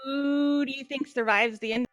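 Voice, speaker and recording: Woman, fairly high pitch, chatty, excitable, dry close sound. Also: moderate pace, no room sound, faint music behind. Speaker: player in a group playthrough. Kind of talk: casual chat.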